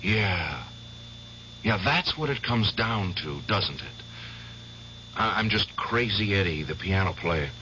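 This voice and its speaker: An actor playing a bitter, obsessed and worn-out war veteran in a radio drama, speaking in an intense, halting way. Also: male voice, low voice, lively intonation, sharp tone, full character voice. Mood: scornful. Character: bitter, obsessed, exhausted war veteran piano player seeking justice. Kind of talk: 1970s radio drama acting. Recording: dull old radio recording, faint hum.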